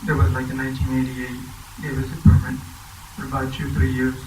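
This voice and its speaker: A man tells his immigration story at a church gathering in an even, level tone with little rise and fall. The sound is distant and echoing, as from across a large room, with faint background noise. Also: medium pitch, a little fast. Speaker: symposium panelist. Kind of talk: personal testimony. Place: church hall. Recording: echoey church hall from far off.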